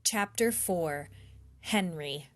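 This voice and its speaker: A woman reading a children's chapter book aloud in an expressive storytime style. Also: on the low side, loud music behind the voice. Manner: slow, clear, animated storybook delivery.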